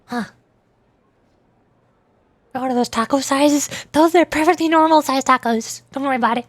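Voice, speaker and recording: A woman's voice: high-pitched, moderate pace, no echo. A young adult woman chatting casually and playfully as a streamer at her home desk mic, with light giggles. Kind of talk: casual live-stream chat. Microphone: desk microphone. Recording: home mic with faint music.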